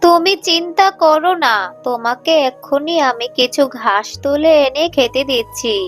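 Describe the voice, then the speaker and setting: A woman voicing a kindly, caring mother fox in a cartoon, earnest and gentle. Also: sits high, normal speed, faint music behind, natural voice. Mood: reassuring. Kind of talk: cartoon voice acting.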